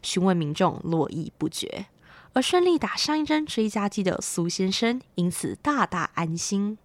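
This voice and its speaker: A woman's voice: mid-range voice, lively intonation, moderate pace, no echo. A young adult woman with a Taiwanese Mandarin accent reading a scripted news report as a student reporter.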